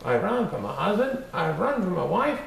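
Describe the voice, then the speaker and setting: A man voicing a cocky little male gingerbread cookie with a high, taunting singsong. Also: sing-song, moderate pace, creaky, reverberant, slight background noise, full character voice.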